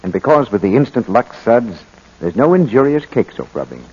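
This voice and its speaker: A man's voice: mid-range voice, big pitch swings, normal speed, a little mellow, husky. A man working as a commercial announcer, reading a scripted 1940s radio advertisement. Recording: muffled old 1940s radio recording.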